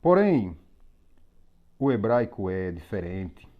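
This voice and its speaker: A man teaching a religious lesson on Hebrew letters, explaining methodically with pauses and repetition. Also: fairly low pitch, sing-song. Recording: slightly dull lo-fi recording.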